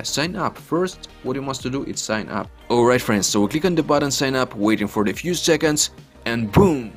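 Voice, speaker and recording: A man in his twenties from Ukraine with a Ukrainian accent, speaking English as a second language in a chatty, repetitive walkthrough that keeps calling out to "guys". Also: medium pitch. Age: 20s. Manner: non-native English, chatty and repetitive, often says "guys". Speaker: online earning vlogger. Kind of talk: casual screen-recorded walkthrough.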